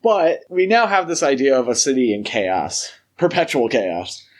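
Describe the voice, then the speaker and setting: Guy co-hosting a movie podcast, casual and analytical, kinda thinking out loud as he goes. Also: medium pitch, very animated, moderate pace. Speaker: podcast co-host. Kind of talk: casual film discussion.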